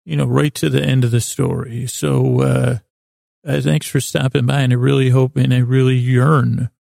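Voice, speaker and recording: A man with a creaky, gravelly voice hosting a sleep podcast, meandering and halting through a self-deprecating, soothing bedtime monologue to the listener. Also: medium pitch, flat delivery, mellow, dry recording, clean studio sound.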